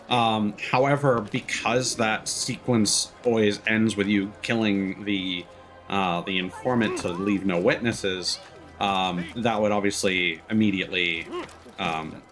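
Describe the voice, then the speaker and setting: Animated man streaming a game. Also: medium pitch. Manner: playful, jokey. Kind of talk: casual let's play commentary. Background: game music.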